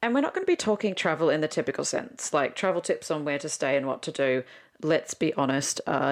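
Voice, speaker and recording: A young adult woman with an Australian accent, hosting a podcast in an informal, candid personal narration. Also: low voice. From Sydney, Australia. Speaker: podcast host.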